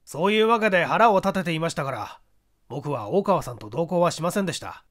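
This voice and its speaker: A man's voice: on the high side, lively intonation, a little fast, natural voice. A man voicing a forthright businessman giving conversational testimony in a mystery story. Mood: indignant. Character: male business associate giving forthright, irritated testimony to investigators.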